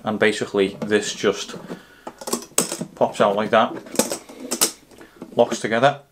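Low, even-voiced man. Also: slow, noisy background. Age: 30s to 40s. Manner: matter-of-fact, explanatory. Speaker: camping gear reviewer. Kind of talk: casual product review to camera.

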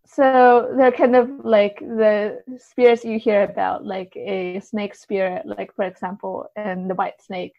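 A woman's voice: mid-range voice, level tone, a little slow, few pauses. A woman explaining things as a podcast guest in a casual, tentative way, often hedging and saying "like". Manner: tentative, hedging, peppers talk with "like". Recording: dull, slightly lo-fi audio.

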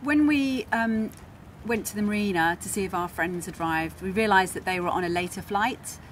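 A woman in her forties chats to camera as a travel vlogger. Her voice sits at a middling pitch. She was recorded outdoors by the water, with clear ambient noise around her. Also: moderate pace. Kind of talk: casual vlog narration to camera. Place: outdoors on a harbour quayside.

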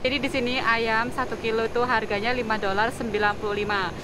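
A woman's voice: a little high, little pitch movement, brisk. A woman vlogging casually to her viewers while shopping in a supermarket and market, chatty and upbeat, filmed on a handheld camera. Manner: chatty, upbeat, giggly, addresses viewers as guys. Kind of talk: casual shopping vlog chat. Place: supermarket and outdoor market stalls. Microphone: handheld wide-angle camera at arm's length. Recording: busy store noise behind. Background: shop and market bustle.

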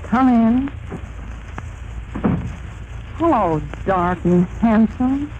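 Brassy saloon vamp, muffled old radio. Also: female voice, on the high side, lively intonation, very slow, a little mellow. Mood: sultry, cynical. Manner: drawling, slangy, cynical. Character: brassy, wisecracking saloon vamp, tough and flirtatious. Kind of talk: 1930s radio comedy acting. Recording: muffled, noisy 1930s radio recording.

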